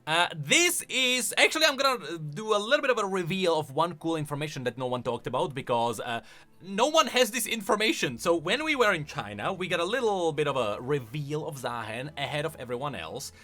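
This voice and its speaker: Bright, animated higher-pitched male gaming host. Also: brisk, soft background music. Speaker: gaming lore video host. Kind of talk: casual lore commentary.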